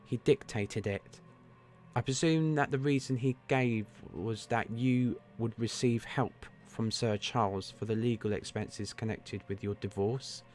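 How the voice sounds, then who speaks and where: A man reading aloud, voicing a calm, precise Victorian detective in a measured, deliberate way. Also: mid-range voice, natural voice.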